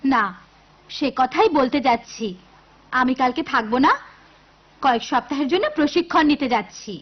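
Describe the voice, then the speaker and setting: A woman playing a gentle, patient, encouraging schoolteacher in a cartoon. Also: sits high, normal speed. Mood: kindly. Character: kind, encouraging young schoolteacher. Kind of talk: cartoon voice acting. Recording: dull lo-fi cartoon audio with music.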